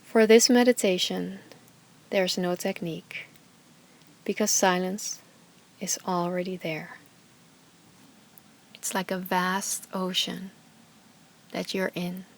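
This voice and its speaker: A woman yoga teacher leading a guided meditation with slow, soothing instructions, sometimes dropping to a soft whisper. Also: lowish voice, flat delivery, slight background noise.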